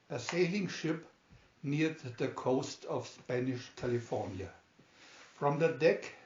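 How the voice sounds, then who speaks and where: A man narrates a scripted story at a very slow, deliberate pace. He halts between phrases and stumbles slightly now and then. The recording is roomy and a little dull. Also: a little high. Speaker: amateur audiobook reader. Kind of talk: scripted story narration, read aloud slowly.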